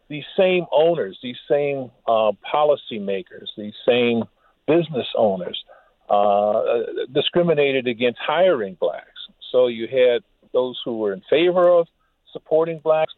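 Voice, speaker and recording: A man speaking as an interview guest and historian, reflective and halting, with measured, formal wording. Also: mid-range voice, animated, dry recording. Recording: muffled phone-like line.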